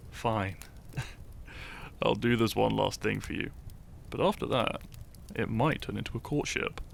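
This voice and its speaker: A man playing a shy, teasing, lovestruck young hero in an intimate romantic audio roleplay, speaking softly and hesitantly with small stammers. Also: fairly low pitch, measured pace, slight background noise. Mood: flustered, coy. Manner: soft, hesitant, stammering, breathy sighs and chuckles.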